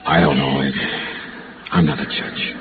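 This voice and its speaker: Radio noir investigator, American, deep, measured, tough with a soft heart. Muffled 1950s recording. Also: male voice, steady tone, noisy background. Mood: somber, gentle. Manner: terse, measured, hardboiled. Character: tough but compassionate private insurance investigator, consoling a friend. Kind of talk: 1950s radio noir acting, narration and dialogue. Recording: dull vintage radio recording, loud dramatic music.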